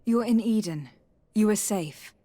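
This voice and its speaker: Actress as husky, composed young noblewoman diplomat. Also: mid-range voice, measured pace, mellow, natural voice. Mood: composed, courteous. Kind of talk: video game dialogue acting.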